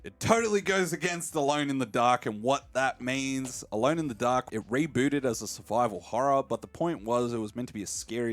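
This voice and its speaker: A man hosting a podcast, discussing films in a casual, opinionated way. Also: mid-range voice. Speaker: podcast host.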